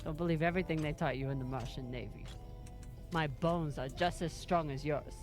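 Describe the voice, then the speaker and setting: An actress playing a tough, sardonic spacer woman in a video game, dry, teasing and commanding, gruffly fond underneath. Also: low-pitched, brisk, darkish tone, soft background music, close to an everyday voice. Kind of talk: video game cutscene acting.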